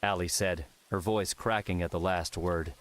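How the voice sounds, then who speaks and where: Deep-voiced male audiobook narrator. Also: steady tone, moderate pace. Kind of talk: scripted fiction narration.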